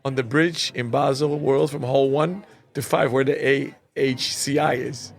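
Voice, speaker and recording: A man hosting a conversational interview, deliberate in how he lays out his points. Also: medium pitch, fairly even intonation, gravelly. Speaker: interview show host.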